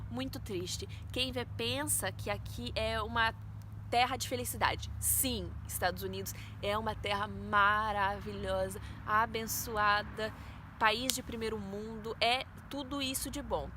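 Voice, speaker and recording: A woman's voice: fairly high pitch, background noise. A woman in her twenties with a Brazilian Portuguese accent, earnestly telling viewers a personal faith testimony. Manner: earnest, storytelling, addresses viewers as "gente". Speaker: vlogger.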